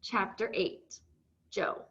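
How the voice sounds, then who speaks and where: A woman's voice: slow pace, fairly bright tone, muffled recording. A woman reading a children's novel aloud as scripted narration.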